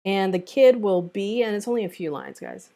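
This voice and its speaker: A woman speaks with noticeable vocal fry at an ordinary pitch, casually running a group session on an online voice chat. She moderates as a host, organizing who does what. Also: expressive, moderate pace, mellow. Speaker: host of an online screenplay table read.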